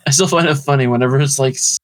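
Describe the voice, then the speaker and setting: A man's voice: mid-range voice, racing, no room sound. A young man from Florida co-hosting a casual podcast, chuckling through his stories. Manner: amused, laughs while telling stories. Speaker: podcast co-host. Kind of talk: casual chat.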